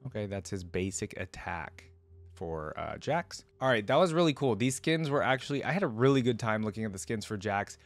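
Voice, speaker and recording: Low-voiced man giving casual reaction commentary. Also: sing-song, brisk. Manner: conversational, thinking aloud, asking viewers questions. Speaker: host of a reaction show. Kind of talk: casual reaction commentary, reading wiki pages aloud.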